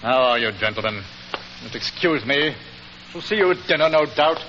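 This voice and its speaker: An actor playing an arrogant, bullying upper-class British scoundrel, haughty and sarcastic, in 1940s radio acting. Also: male voice, medium pitch, animated, normal speed, bright, forceful tone. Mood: smug. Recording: muffled, hissy 1940s radio recording.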